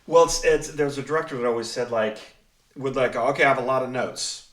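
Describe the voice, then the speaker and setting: A man's voice: mid-range voice, steady tone, normal speed, fairly bright tone. A man telling stories casually and conversationally as he hosts a panel, acting out quotes as he goes. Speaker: writer and director hosting a panel. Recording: roomy echo, distant mic.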